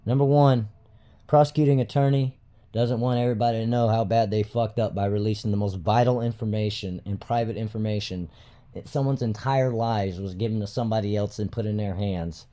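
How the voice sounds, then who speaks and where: A man's voice: lowish voice, steady tone, brisk, warm tone. A man giving unscripted commentary to his viewers in a blunt, emphatic, indignant and profane way. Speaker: video host, self-styled citizen watchdog.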